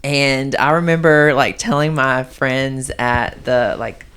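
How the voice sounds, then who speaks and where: A young man with a high-pitched voice telling his story as a podcast guest, chatty and candid with many ums and likes. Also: fairly even intonation, takes it slowly, a bit bright, smooth. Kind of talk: casual storytelling chat.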